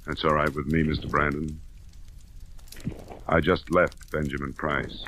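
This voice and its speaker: An actor playing a cool, dogged insurance investigator in an old-time radio drama, clipped, dry and matter-of-fact. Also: male voice, a bass voice, flat delivery, a little slow, bright, forceful tone, full character voice. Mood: firm, pressing. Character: insurance investigator, cool, dogged, hard-boiled male detective. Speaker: lead character and narrator. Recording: noisy vintage radio drama recording.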